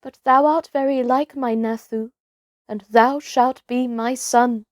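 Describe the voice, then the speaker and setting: A woman voicing a tender, sorrowful village mother in a storybook, speaking gently in archaic phrases. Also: a little high, fairly even intonation, measured pace, dry recording, studio quality, lightly acted.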